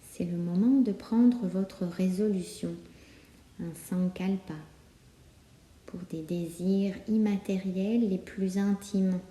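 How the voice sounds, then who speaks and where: A woman guiding a yoga nidra relaxation, speaking calmly and soothingly with many pauses. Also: mid-range voice. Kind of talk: guided relaxation meditation. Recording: roomy, echoing sound.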